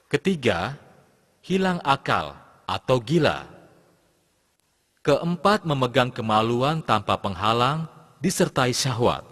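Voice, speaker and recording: A man's voice: medium pitch, big pitch swings, slow pace, pauses often, fairly bright tone, exaggerated voice. A man playing a patient religious teacher in an educational cartoon, giving formal, didactic scripted instruction. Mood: patient, instructive. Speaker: Islamic teacher. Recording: long reverberant tail on the voice.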